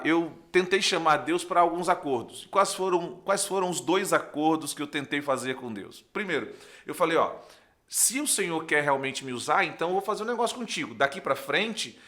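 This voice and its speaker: A young man with a northeastern Brazilian accent, a pastor and podcast guest, giving a conversational testimony and acting out dialogue as he tells it. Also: fairly high pitch. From Recife, northeastern Brazil. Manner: animated storyteller, acts out dialogue, often checks with "né".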